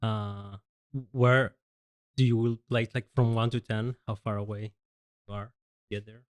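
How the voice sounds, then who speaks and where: A man's voice: lowish voice, flat delivery, unhurried, clear, resonant tone. Easygoing male podcast host. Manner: easygoing, laughs at own mistakes, short backchannels. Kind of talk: casual interview chat.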